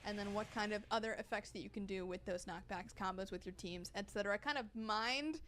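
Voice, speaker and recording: A woman's voice: medium pitch, fairly quick, fairly bright tone, a little hiss or hum. A woman who works on a game, presenting its updates on a livestream in an upbeat, explanatory way with plenty of fillers.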